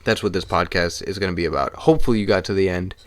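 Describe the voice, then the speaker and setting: A young man hosting his own solo podcast, talking casually and with swearing while hoarse and losing his voice. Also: deep voice, no echo. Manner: casual and profane, talks fast in bursts. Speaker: podcast host.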